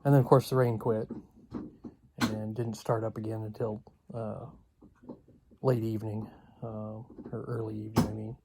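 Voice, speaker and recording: A man giving a casual, unscripted vlog update in a halting, rambling way with long pauses. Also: fairly low pitch, little pitch movement, background noise. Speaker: video blogger.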